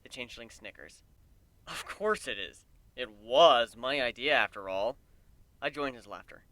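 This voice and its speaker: A man's voice: mid-range voice, lively intonation, measured pace, a bit bright, dry recording. A man giving a dramatic first-person reading of a story as its narrator.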